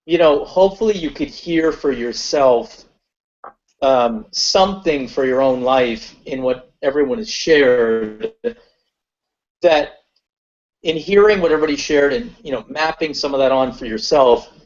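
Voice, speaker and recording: A man's voice: medium pitch, unhurried. A man from Guyana hosting a webinar, telling a personal story in a reflective way with many fillers. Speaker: webinar host. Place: online call. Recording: muffled webinar call audio.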